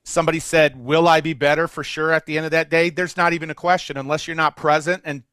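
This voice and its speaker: A man's voice: on the high side, flat delivery, quick pace, a bit bright, clear, resonant tone, dry recording, clean studio sound. Upbeat salesy webinar host man. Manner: upbeat, salesy, runs through offer details. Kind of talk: sales pitch.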